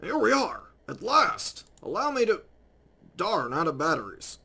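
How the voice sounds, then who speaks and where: A man voicing a nerdy, easily indignant older scientist adventurer in a game, with a gravelly, pedantic delivery. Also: sits high, big pitch swings, bright, forceful tone, full character voice. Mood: fussy, eager. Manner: pedantic, halting storyteller. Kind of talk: visual novel fan voice acting.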